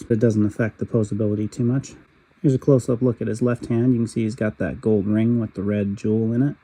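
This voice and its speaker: A man talking through a product review in a steady, matter-of-fact voice-over. His tone is very dark and mellow, and a cold leaves it husky and raspy. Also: fairly low pitch. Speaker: toy reviewer.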